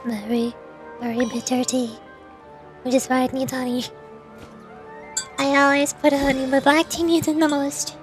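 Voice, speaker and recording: A woman's voice: high voice, flat delivery, normal speed, long pauses, faint music behind. A tired, sleepy woman chatting casually and rambling on a livestream as a VTuber. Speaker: VTuber streamer and amateur voice actor.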